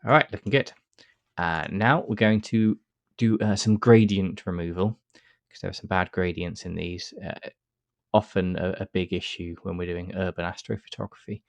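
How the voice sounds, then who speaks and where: A man with a cold and a stuffy nose, calmly talking through a software tutorial step by step with frequent uh fillers. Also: sits low, moderate pace, gravelly, dry recording. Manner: relaxed step-by-step explaining with many uh fillers. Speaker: astrophotography tutor. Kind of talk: screen-recorded software tutorial.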